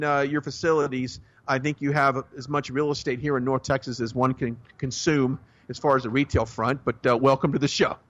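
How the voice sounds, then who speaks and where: A man's voice: on the high side, fairly bright tone, dull audio. A man hosting a radio talk show, leading his interview in a chatty way. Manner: chatty, leading questions with filler.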